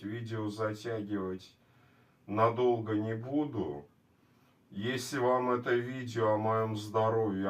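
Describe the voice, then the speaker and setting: Slow-talking man with a sore throat. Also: mid-range voice. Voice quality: weakened, scratchy voice from a sore throat. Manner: halting, repetitive, plain-spoken. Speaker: video blogger. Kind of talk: casual vlog talk to viewers. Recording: distant microphone.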